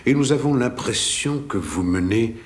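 A man dubbing a cold, formal male machine agent who speaks slowly with clipped, precise diction. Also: mid-range voice, animated, pitched above the performer's own voice, close to an everyday voice. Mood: coldly menacing.

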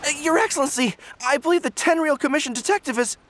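A voice actor playing an earnest, dutiful young dog-eared general in a video game, formal in manner. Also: male voice, very high-pitched, fast talker, a bit bright, a little hiss or hum.

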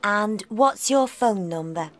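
A woman voicing an adult teacher at a school club desk, asking questions slowly and very clearly in a scripted textbook dialogue. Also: mid-range voice, animated. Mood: polite. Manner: slow, clearly enunciated questions. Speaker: textbook audio dialogue reader.

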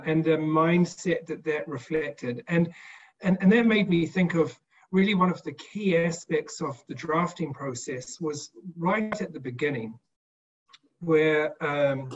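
A man speaking on an online panel, recollecting in a measured, halting way with repeated words, over video call audio. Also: on the high side, muffled recording. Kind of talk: reflective recollection in a panel discussion. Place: indoor room, video call. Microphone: video call microphone.

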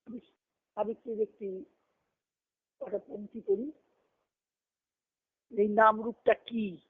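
A woman giving an earnest, emphatic devotional discourse over a conference phone line, reciting scripture and verse and pausing between short phrases. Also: mid-range voice, little pitch movement. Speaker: spiritual discourse speaker. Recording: tinny band-limited conference phone call.

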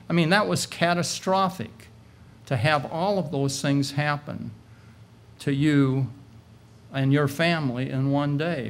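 Slow, gravelly older man preaching. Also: mid-range voice. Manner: measured, halting delivery in short phrases with pauses. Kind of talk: sermon retelling a Bible story. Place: indoor meeting room, at a lectern. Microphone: lectern gooseneck microphone.

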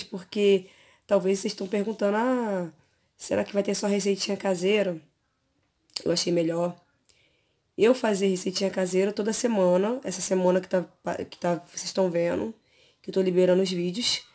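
A Brazilian woman in her thirties, hoarse from an allergy, chatting casually to her viewers from her bedroom with a phone camera close to her face. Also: mid-range voice, steady tone, brisk, darkish tone. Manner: chatty, hesitant, many fillers. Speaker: beauty vlogger. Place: bedroom at home, lying on pillows.

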